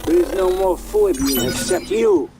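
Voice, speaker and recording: A man playing a paranoid, unhinged survivor in a video game, speaking in halting, broken sentences. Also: high voice, over music. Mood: grim warning. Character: paranoid, unhinged male survivor warning of mind-controlled puppets.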